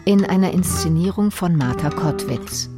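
A woman announcer reading radio play credits in a scripted broadcast style, with measured delivery. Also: on the low side, expressive. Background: guitar music.

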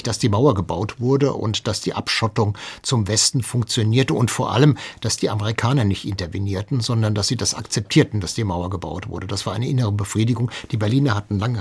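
A man's voice: lowish voice, husky. A man speaking as an expert guest, explaining history in a conversational interview.

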